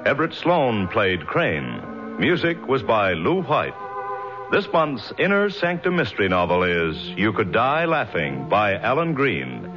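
A man's voice: fairly low pitch, sing-song, unhurried. A man announcing in formal, emphatic 1950s radio style, reading credits and sponsor copy. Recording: muffled vintage radio recording, music behind.

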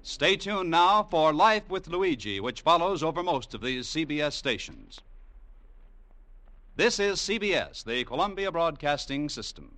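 A man's voice: fairly high pitch, very animated, measured pace, over loud music. A man with an American accent announcing in the formal style of 1940s radio. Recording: old network radio broadcast recording.

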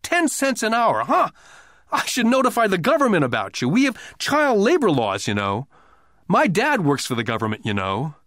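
An aggrieved little boy, acted out by a man in an audiobook. The voice is pushed high and swings widely as he pleads and accuses in a tearful, defiant way. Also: wildly swooping pitch, moderate pace, a bit bright, no room sound, clean studio sound, exaggerated voice. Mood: indignant. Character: indignant nine-year-old boy, tearful, defiant, aggrieved.

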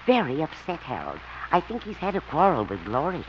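Worried mom, lilting and a bit high, old hissy radio. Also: female voice, huge pitch swings, fairly quick. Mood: concerned. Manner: concerned, confiding. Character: worried older mother at home, gentle. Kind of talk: 1950s radio sitcom acting. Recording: dull old radio transcription with hiss.

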